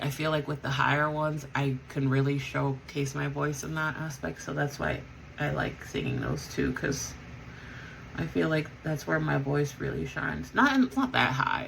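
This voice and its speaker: Bright-toned woman with vocal fry. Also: deep voice, slight background noise, low-quality recording. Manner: rambling, with frequent fillers and self-corrections. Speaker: vlogger and band singer. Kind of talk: casual chat while getting ready.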